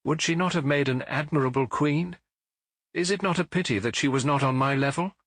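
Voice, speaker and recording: A man's voice: a little high, brisk, fairly bright tone, dry recording, pitched above the performer's own voice. A man voicing a proud, emotional king in a theatrical, exclamatory way for an audiobook. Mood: admiring. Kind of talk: audiobook character acting.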